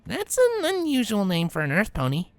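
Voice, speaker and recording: A man's voice: high voice, huge pitch swings, moderate pace, no room sound, voice pushed up. A man voicing a guarded, flustered young adult female pony in a fan fiction audio story.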